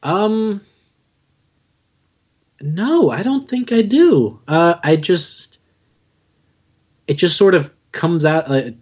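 A man's voice: on the high side, expressive, extremely slow, long pauses, darkish tone. Dull-sounding lo-fi recording of a joking man. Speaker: podcast guest, audio drama writer and narrator. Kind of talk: casual interview chat.

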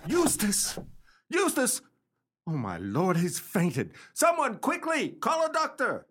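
A man acting in a radio play as a gentleman's loyal, practical companion, speaking in an emphatic, theatrical way. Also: high-pitched, wildly swooping pitch, slow, husky, exaggerated voice. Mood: alarmed.

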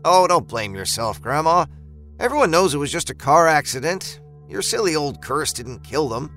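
A man's voice: fairly high pitch, sing-song, moderate pace, fairly bright tone, soft background music. A man voicing a teenage grandson in an audio story, soothing and a little dismissive toward his grandmother. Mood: reassuring.

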